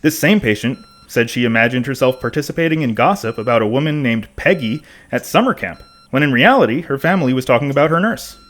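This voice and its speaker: A man's voice: mid-range voice, animated, fast talker, hardly any pauses, fairly bright tone, close to an everyday voice. An actor playing a pensive, rambling male podcast host in a conversational scripted audio monologue.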